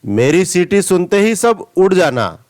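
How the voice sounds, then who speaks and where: A man reads out a wise old uncle goose from a moral storybook. He is patient and cautioning, explaining very slowly and instructively, in a higher-set voice with wide melodic swings. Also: very animated, a little mellow. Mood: calm instructing.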